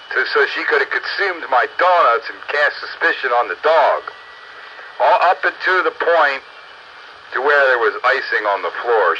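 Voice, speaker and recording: A man's voice: medium pitch, bright, forceful tone. An older man chatting casually with friends as a radio hobbyist, plain-spoken and bantering, over radio audio. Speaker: amateur radio operator. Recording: muffled two-way radio audio, faint noise.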